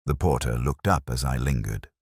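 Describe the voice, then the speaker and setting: A man voicing a melancholy older retired police inspector, narrating a literary detective drama in a measured, wistful, erudite way with wry touches. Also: extremely low pitch, darkish tone, husky, no echo, studio quality, exaggerated voice. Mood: wistful, brooding. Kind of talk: literary first-person detective drama monologue.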